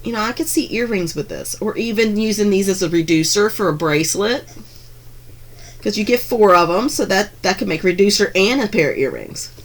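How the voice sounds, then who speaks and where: A woman chatting through a craft unboxing in her home craft room, gushing over each piece with frequent ums and restarts, her voice a little hoarse from being under the weather. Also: mid-range voice, lively intonation, brisk. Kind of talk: casual unboxing narration.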